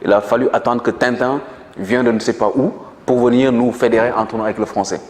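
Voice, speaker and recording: A man's voice: medium pitch, fairly even intonation, brisk, a little mellow. Man, native Manjak speaker, earnest lecturing tone, hesitant, echoey room. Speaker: guest. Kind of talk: explanatory interview talk.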